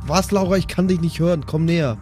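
A man playing in an improvised tabletop audio show, casual and slangy with a creaky voice. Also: fairly high pitch, quick pace, darkish tone. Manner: slangy, colloquial. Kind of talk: casual improvised role-play banter. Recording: music bed behind the voice.

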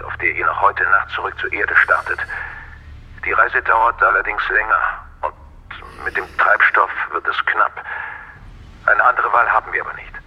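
An earnest space mission controller sending a grave message in an audio drama. His voice is low and very raspy, coming through a dull radio link. He speaks in a measured, deliberate way. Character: earnest male mission control radio operator delivering urgent warning. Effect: radio transmission filter. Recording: rough, dull radio transmission sound.

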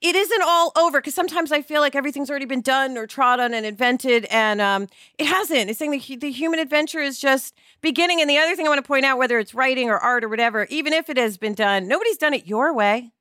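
A woman's voice: high-pitched, fast, few pauses, bright, forceful tone, no room sound, studio quality. A woman co-hosting a podcast, talking casually in an earnest, encouraging way. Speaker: podcast co-host. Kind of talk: casual conversational discussion.

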